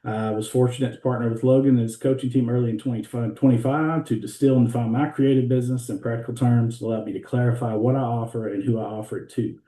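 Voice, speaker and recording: A middle-aged man giving a laid-back introduction over a video call, pausing often with "uh" and letting his words trail off. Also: medium pitch, steady tone. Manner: laid-back, many "uh" pauses, trails off softly. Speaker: guest designer. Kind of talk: casual self-introduction. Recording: roomy, distant from the mic.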